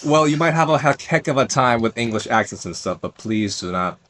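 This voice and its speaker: A man's voice: medium pitch, animated, a little fast, a bit bright, a little hiss or hum. A young man co-hosting a podcast, wry and wordy with slightly formal phrasing. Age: young adult. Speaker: podcast co-host. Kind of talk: casual podcast chat.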